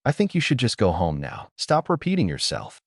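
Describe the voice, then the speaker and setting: A man's voice: lowish voice, huge pitch swings, a little fast, husky, no echo, exaggerated voice. A man voicing a weary, blunt adult male advisor who presses hard with questions, his voice close to the reader's own. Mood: pressing.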